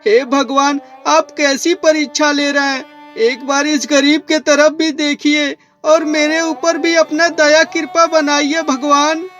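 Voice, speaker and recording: An actor playing a poor, anxious village farmer in a moral story cartoon, speaking in a plaintive, dramatic way. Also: male voice, very high-pitched, little pitch movement, slow pace, hardly any pauses, a bit bright, heavily acted. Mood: despairing, pleading. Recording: music behind the voice.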